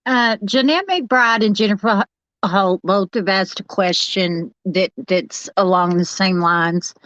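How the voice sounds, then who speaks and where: A woman panelist in a casual discussion, reading questions and answering in a measured, tentative way. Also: mid-range voice, fairly bright tone, no room sound. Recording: slightly dull lo-fi sound.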